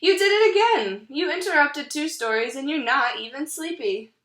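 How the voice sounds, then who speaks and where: A woman voicing a patient, mildly exasperated father chicken in a storybook, gently chiding his child at bedtime. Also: high voice, expressive, moderate pace, echoey room, pitched above the performer's own voice.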